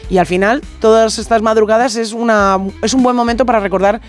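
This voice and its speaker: A woman's voice: fairly high pitch, steady tone, fast talker, soft background music. A woman with a Spain Spanish accent, chatting casually and agreeably as a radio co-host. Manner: agreeable, reactive. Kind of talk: casual chat.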